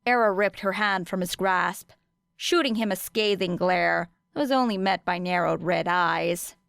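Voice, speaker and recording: A woman's voice: on the low side, unhurried, gravelly, a bit bright, clear, resonant tone, no echo, studio quality. A woman reading a story aloud as a scripted third-person audiobook narration. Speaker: audiobook reader of fan fiction.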